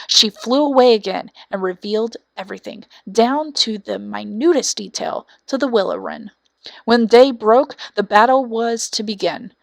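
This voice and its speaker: A woman's voice: medium pitch, gravelly, no echo. A woman reading a scripted children's fairy tale as an audiobook narrator.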